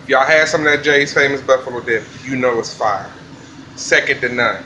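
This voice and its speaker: A man, a chef filming a casual vlog in a commercial kitchen, talking in relaxed slang. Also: medium pitch, normal speed, a little hiss or hum, poor audio. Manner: laid-back slangy hype talk. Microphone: handheld phone camera.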